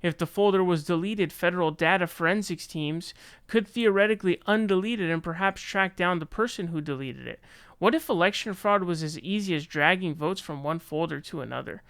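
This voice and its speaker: Clear-voiced American man commenting on news. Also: high voice, fairly quick, fairly bright tone, no room sound, studio quality. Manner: opinionated, colloquial. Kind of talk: casual news commentary, reading articles aloud.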